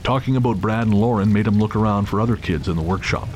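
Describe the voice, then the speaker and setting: A man's voice: lowish voice, quick pace, a little mellow, slight background noise. A man giving dramatic scripted narration of a thriller story as its narrator. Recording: music and rain under the voice.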